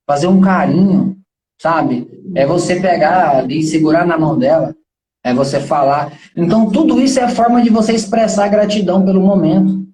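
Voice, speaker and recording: A Brazilian man speaks in a high, mellow voice with a roomy echo around it. He talks like a motivational speaker on a live stream, earnest and slangy, setting up his points with rhetorical questions.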